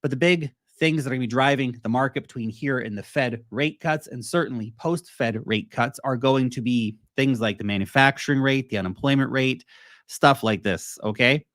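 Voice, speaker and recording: A man in the middle of a casual market chat, rambling from one point to the next and breaking off to answer viewers. He sits at an ordinary pitch, close and dry on the mic, with no room around him. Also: moderate pace, a bit bright, no room sound. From United States. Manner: chatty, talks to chat, rambling asides. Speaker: crypto livestream host.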